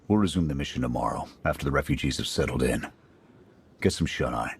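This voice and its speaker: A man's voice: a bass voice, fast talker, slight background noise. An actor playing a jaded male military commander in a video game, talking in terse, clipped soldier phrases. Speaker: squad commander. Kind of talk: video game cutscene acting.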